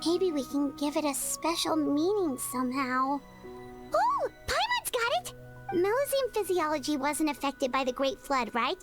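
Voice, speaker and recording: A chirpy little floating sidekick chatters in a very high, bouncing voice. Her squeaky lines rise and fall over game music. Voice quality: squeaky, bouncy, refers to herself in third person. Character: tiny floating female companion, chirpy and excitable. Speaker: game character. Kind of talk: game cutscene dialogue. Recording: game audio with music behind.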